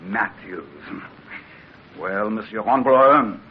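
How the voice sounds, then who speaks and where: An actor playing a suave, menacing French privateer captain with a French accent in a radio drama. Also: male voice, on the low side, slow, slightly rough. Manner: courteous, coolly menacing. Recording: muffled radio drama recording.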